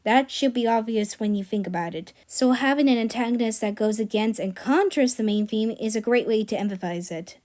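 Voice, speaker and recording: A woman's voice: fairly high pitch, darkish tone. A woman narrating a scripted video as a fan writer presenting a personal project.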